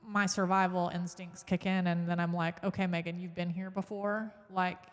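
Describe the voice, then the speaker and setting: A woman's voice: fairly low pitch, level tone, normal speed, big-room sound. A woman co-hosting a podcast, chatting candidly and reflecting on her past. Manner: candid, reflective, laughs often. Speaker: podcast co-host. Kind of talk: casual chat.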